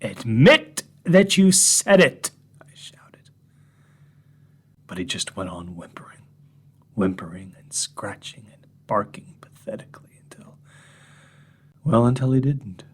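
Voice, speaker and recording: A man reading a horror story in the voice of an unhinged drunk man confessing to strangers, husky and often whispering, veering from hushed murmurs to sharp mocking rises. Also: on the low side, very animated, takes it slowly, pauses often, full character voice. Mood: menacing. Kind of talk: first-person horror monologue reading.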